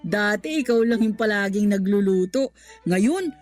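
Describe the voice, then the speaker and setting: A woman's voice: medium pitch, expressive, a little slow, darkish tone, faint music behind, natural voice. A woman playing a teasing, affectionate grown-up daughter in a radio drama, with playful, exclamatory banter. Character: teasing, affectionate grown child of a smitten father.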